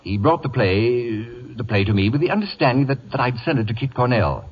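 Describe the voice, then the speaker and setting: A man's voice: mid-range voice, very animated, a little fast, slightly rough, in a large hall. An imperious, acid-tongued celebrity in a vintage radio comedy. His delivery swoops theatrically between mock affection and sardonic barbs. The recording is dull, like an old broadcast. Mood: scheming, brisk. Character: acid-witted, imperious middle-aged celebrity man confined to wheelchair. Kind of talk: 1940s radio comedy acting.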